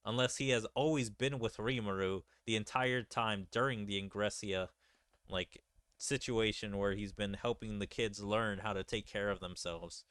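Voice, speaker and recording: A man's voice: on the low side, fairly even intonation, moderate pace, fairly bright tone, clear, resonant tone, dry recording, clean studio sound. A man giving casual, slangy gaming commentary as a streamer. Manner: slangy, informal. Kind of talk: casual let's play commentary, reading game dialogue aloud.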